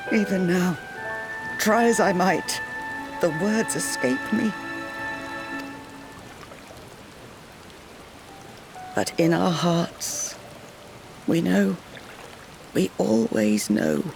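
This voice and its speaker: An actress playing a middle-aged grieving mother in a video game, tender and gently scolding, with measured, heartfelt phrasing. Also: lowish voice, vocal fry, exaggerated voice. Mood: tender grief. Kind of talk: dramatic video game voice acting. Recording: rain and music behind. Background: rain, music.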